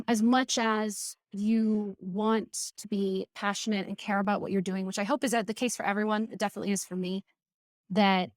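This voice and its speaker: A woman guest on a podcast who talks candidly and reflectively about work, lively and open. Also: mid-range voice, no echo, studio quality. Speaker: podcast guest, community team lead. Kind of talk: casual interview conversation.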